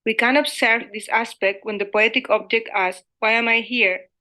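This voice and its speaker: The bright, clear-cut voice of a woman researcher, a native Spanish speaker, formally reading her paper at a literature conference. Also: on the high side, steady tone, normal speed. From Spain. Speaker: academic researcher presenting a paper. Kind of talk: scripted conference presentation read aloud.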